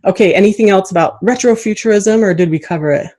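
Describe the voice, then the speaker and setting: A woman's voice: mid-range voice, fast, dark tone. A woman hosting a casual interview, chatting in an enthusiastic, affirming way. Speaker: podcast host.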